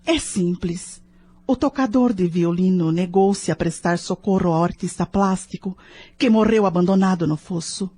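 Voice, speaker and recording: A woman's voice: on the low side, normal speed, warm tone, natural voice. A woman playing a troubled, intense mother in an audio drama, with a Brazilian accent. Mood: earnest, grave. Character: anguished, brooding mother of adult twin sons.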